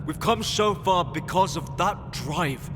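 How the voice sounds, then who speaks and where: A man's voice: high-pitched, expressive, slow pace. A man playing an ambitious, idealistic space explorer in a sci-fi audio drama, with an earnest, emotional and dramatic delivery. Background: music.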